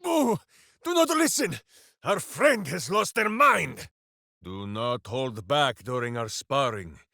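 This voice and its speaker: Casting: hearty game berserker, earnest and grandiose, unhurried delivery with big pitch swings. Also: male voice, sing-song, pushed and bright, dry recording, studio quality, heavily acted. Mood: battle fury, then subdued, solemn. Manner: grandiose, speaks of himself in third person. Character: boisterous, big-hearted male berserker warrior, earnest and simple-minded. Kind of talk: fantasy video game voice acting.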